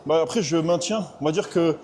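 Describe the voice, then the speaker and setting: A man hosting a casual vlog chat in a large gym, upbeat and joking with quick interjections. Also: a little high, a little mellow, a little hiss or hum. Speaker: host, business coach.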